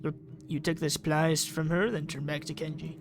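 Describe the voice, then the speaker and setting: Higher-pitched man narrating a visual novel. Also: level tone, moderate pace, background noise. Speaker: gaming video creator. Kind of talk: reading a visual novel aloud, quiet ASMR-like narration with side comments.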